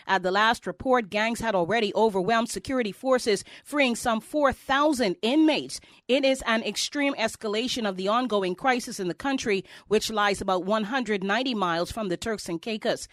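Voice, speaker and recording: Woman news anchor, higher-pitched and dry-sounding. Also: fairly bright tone, no echo. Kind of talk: scripted news narration.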